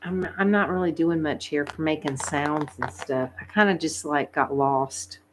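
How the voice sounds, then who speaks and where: Chatty Southern woman with a low voice. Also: normal speed, a little hiss or hum. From Southern United States. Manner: chatty, laughs often, soft-spoken. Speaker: ASMR channel host. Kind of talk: casual livestream chat.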